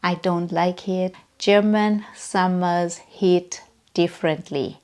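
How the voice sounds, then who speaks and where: A woman's voice: fairly low pitch, steady tone, slow, darkish tone. A woman in her thirties with a Southern African accent, talking to camera in a personal vlog. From Zimbabwe and South Africa. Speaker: vlogger. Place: bedroom, indoors.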